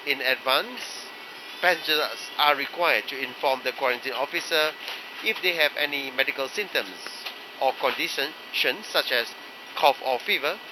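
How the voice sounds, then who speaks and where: A man reading scripted cabin crew announcements in a formal, measured manner. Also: mid-range voice. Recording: loud aircraft cabin background noise.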